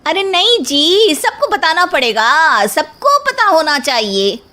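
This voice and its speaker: A woman's voice: very high-pitched, big pitch swings, slow pace, sharp tone, heavily acted. A woman playing a brash, feisty young daughter-in-law in a story, with bossy, cheeky, slangy street-smart talk. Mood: bold, confident. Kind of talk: animated Hindi story voice acting.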